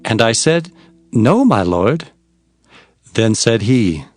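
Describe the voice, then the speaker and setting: A man playing an earnest prophet who recounts his visions in formal, expressive storytelling for dramatized scripture. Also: lowish voice, takes it slowly, heavily acted. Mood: earnest, wondering. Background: faint music.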